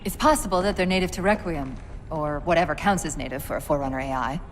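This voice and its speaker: An actress voicing a calm, precise female AI companion in a video game cutscene. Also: deep voice, fast, a little hiss or hum, lightly acted. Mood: analytical. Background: music.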